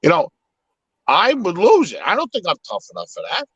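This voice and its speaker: A man's voice: high voice, huge pitch swings, brisk, a bit bright, husky, no room sound, dull audio. A man whose first language is Italian, talking in a casual, reflective way on a live podcast, with many restarts. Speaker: podcast host.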